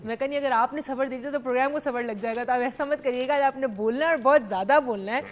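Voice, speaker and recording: Young pakistani-accented woman, tv talk host, bit high voice, faint hiss behind, chatty. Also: fast. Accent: Pakistani Urdu, mixing in English. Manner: chatty, mixing Urdu and English freely. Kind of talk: live call-in talk show hosting. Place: TV studio.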